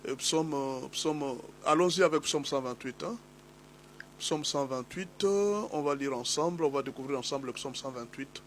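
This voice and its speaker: A man with a cold and a slightly hoarse voice, preaching a sermon as a pastor, emphatic and repetitive as he exhorts his listeners. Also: mid-range voice, moderate pace, a bit bright, slight background noise. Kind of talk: sermon teaching.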